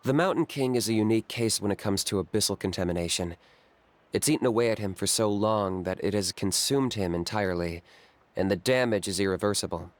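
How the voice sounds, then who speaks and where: A man as a low-voiced, coolly blunt game character. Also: lightly acted. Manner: matter-of-fact, unhurried, dry. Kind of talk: video game character acting.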